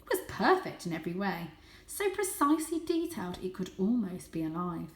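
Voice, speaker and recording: A woman reading a children's story aloud as host, with expressive, dramatic and suspenseful narration. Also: on the low side, fairly quick. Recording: echoey room.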